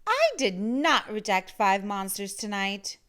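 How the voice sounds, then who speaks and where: A woman's voice: medium pitch, big pitch swings, unhurried, fairly bright tone. A woman playing a plucky, skeptical child who talks back at bedtime. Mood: indignant.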